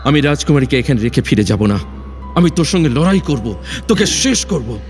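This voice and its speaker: Dutiful young prince played by a man, earnest declamation for a fairy-tale audio drama, long reverb over soft music. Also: mid-range voice, sing-song, fairly quick, close to an everyday voice. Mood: defiant, urgent. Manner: formal, declamatory, solemn.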